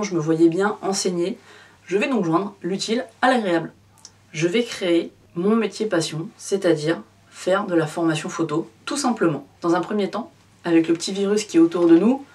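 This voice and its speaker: A woman's voice: medium pitch, darkish tone, recorded from a distance. A woman in her thirties, a photography vlogger, talking casually and directly to her viewers with lots of fillers. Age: 30s. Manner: chatty, addresses the viewer informally with many fillers. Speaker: photography YouTuber. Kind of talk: casual direct-to-camera vlog.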